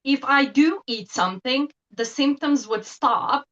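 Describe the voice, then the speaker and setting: Bright-voiced woman, guest on a video call. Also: high voice, measured pace, lo-fi sound. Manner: thoughtful, hesitant with frequent ums, laughs lightly. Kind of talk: casual interview talk.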